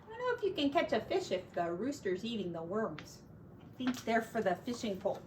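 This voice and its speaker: Expressive high-voiced woman reading to kids. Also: a little fast. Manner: expressive, simple gentle asides to children. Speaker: teacher reading to young children. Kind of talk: picture-book read-aloud with chatty asides. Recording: distant mic with faint background noise.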